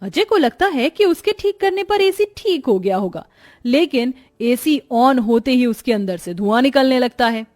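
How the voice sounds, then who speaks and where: A woman's voice: high voice, lively intonation, normal speed, a little mellow. A woman narrating a scripted children's cartoon story.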